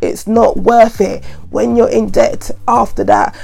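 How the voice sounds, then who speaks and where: A woman, croaky from a head cold, giving chatty, repetitive money advice straight to her viewers. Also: fairly high pitch, big pitch swings, measured pace, a little mellow. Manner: chatty, repetitive, earnest warnings. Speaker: vlogger. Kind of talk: casual advice monologue to camera.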